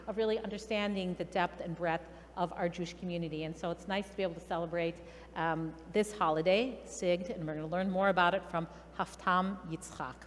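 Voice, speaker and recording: A middle-aged woman rabbi introducing a guest speaker in a halting way, with fillers. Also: lowish voice, in a large hall, a little hiss or hum.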